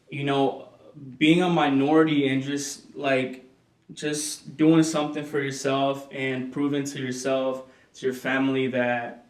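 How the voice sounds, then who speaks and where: A young man from El Salvador and Honduras answers as a podcast guest, relaxed and measured, stretching out his phrases. He sits some way off the mic in a roomy space that carries an echo, and his pitch stays in a plain middle range. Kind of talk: casual interview answers. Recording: roomy sound, voice from a distance.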